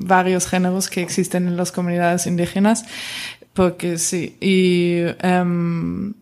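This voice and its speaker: A woman's voice: on the low side, a little mellow. A woman guest on a radio show, speaking Spanish hesitantly with many fillers and slightly nonnative phrasing. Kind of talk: casual radio chat.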